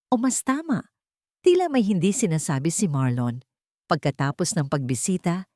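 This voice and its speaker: A woman's voice: on the low side, dark tone, no room sound, studio quality. A woman narrating a scripted romantic story in an emotive, dramatic way. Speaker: narrator of romantic audio stories.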